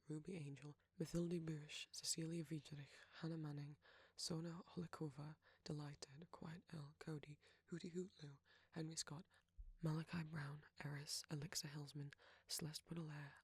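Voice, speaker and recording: A soft-spoken audio creator reading out a long list of supporter names in a near-monotone recitation. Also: mid-range voice, moderate pace, slightly rough, no room sound.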